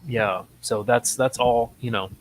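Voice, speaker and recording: A man co-hosting a casual, unscripted talk show, speaking in a halting way with frequent ums and pauses. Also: medium pitch, steady tone, low-quality recording.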